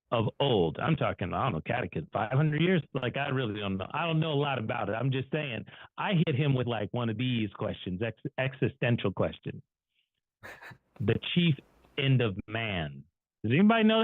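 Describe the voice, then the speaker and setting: A man's voice: mid-range voice, no echo. A man co-hosting an online show, telling personal stories in a casual, folksy, rambling and self-deprecating way. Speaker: co-host of an online finance show. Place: online video call. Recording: muffled phone-like call audio, dry.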